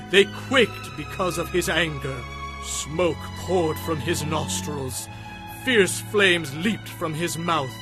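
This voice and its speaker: An actor playing a devout warrior king, reciting praise to God solemnly and fervently in a dramatized scripture reading. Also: on the high side. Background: music.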